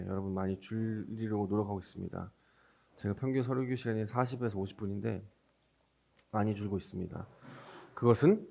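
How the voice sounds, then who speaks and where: A man speaks as a pastor in a Sunday service. His voice sits a little low. He explains the scripture conversationally, turning to the congregation with questions as he teaches. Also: vocal fry, darkish tone. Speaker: pastor preaching. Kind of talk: Sunday sermon with scripture reading.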